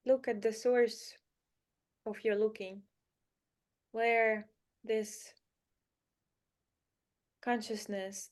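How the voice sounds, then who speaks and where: A woman leading a spiritual guided meditation in a soothing, unhurried manner, with short phrases, pauses and gentle repetition. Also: a little high.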